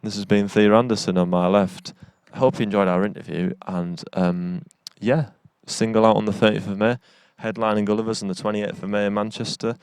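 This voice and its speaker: A man's voice: fairly low pitch, a little fast, few pauses, clean tone, no echo. A man from England, chatting playfully about his band outdoors. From northeast England. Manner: joking, relaxed, playful. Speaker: band frontman. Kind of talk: casual band chat.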